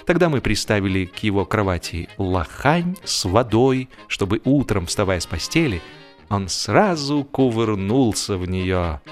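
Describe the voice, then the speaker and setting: A man reading humorous fiction as an audiobook narrator, in an expressive, dramatic storytelling manner. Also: on the low side, a little slow, faint music behind. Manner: expressive, acts out the dialogue.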